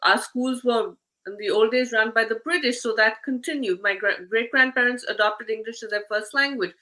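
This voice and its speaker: A woman's voice: a little high, normal speed, pushed and bright, rough recording. A woman hosting a conversational interview. She talks chattily, filling her sentences with "you know" and "uh". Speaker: host.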